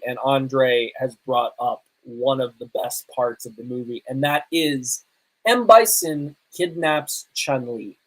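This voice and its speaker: A man hosting a podcast, reviewing movies casually in an emphatic, halting way with pauses for effect. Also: mid-range voice, rough recording. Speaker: podcast host.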